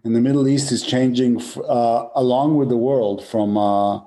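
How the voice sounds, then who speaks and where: Mellow-voiced male executive from Israel. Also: medium pitch, fairly even intonation, normal speed. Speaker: data center company executive. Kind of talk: interview answers.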